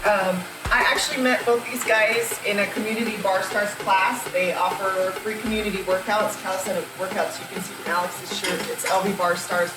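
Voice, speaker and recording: Woman hosting, echoey room, loud music. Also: medium pitch, fairly even intonation, normal speed, few pauses. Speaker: host and supervisor. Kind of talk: casual show introduction. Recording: echoey room from a distant mic.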